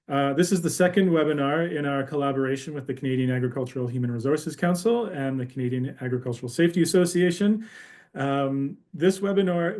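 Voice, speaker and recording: A man who is a policy analyst, opening a webinar as host, talking quickly with many filler sounds. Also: medium pitch, darkish tone. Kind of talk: webinar introduction. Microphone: video call.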